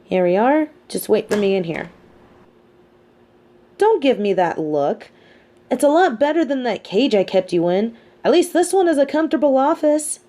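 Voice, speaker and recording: A woman's voice: fairly high pitch, big pitch swings, normal speed, mellow, a little hiss or hum, pitched above the performer's own voice. A woman playing a smug, sardonic but sympathetic female supervillain in an audio roleplay, speaking articulately. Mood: dry, bossy. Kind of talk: dramatic audio roleplay monologue.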